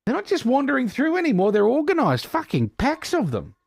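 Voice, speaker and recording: A man's voice: very high voice, huge pitch swings, brisk, vocal fry, dry recording, pitched above the performer's own voice, exaggerated voice. A man voicing a breezy, cheerful, foul-mouthed male stoner survivor in an audio drama. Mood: agitated.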